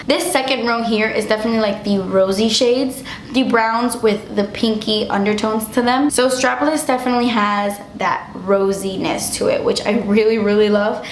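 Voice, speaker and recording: Young female vlogger, slightly high voice, effusive and chatty, voice worn from illness; roomy echo. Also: slight background noise. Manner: gushing, chatty, lots of "like". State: losing her voice, getting sick. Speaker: beauty vlogger. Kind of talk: casual product review.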